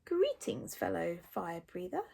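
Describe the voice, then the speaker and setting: A woman's voice: lowish voice, huge pitch swings, unhurried, creaky, warm tone, voice pushed down, exaggerated voice. A woman voicing a big, sly, catlike hunting dragon in a storybook, menacing and hissing. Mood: sly menace. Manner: hissing, purring, whining.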